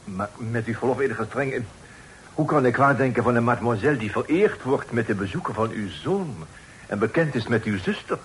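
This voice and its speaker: A man playing an obsequious, evasive lodging-house keeper in a Dutch radio drama, halting and servile, with broken phrasing and French words. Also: mid-range voice, lively intonation, natural voice. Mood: evasive, flustered. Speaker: radio play actor. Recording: faintly noisy old radio play recording.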